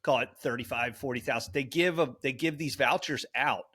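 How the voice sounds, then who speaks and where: Guy on a podcast rambling through a story, lots of ums and restarts, mid pitched voice. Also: brisk, bright, forceful tone, slightly rough. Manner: rambling, many fillers and self-corrections. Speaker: podcast co-host. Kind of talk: casual chat.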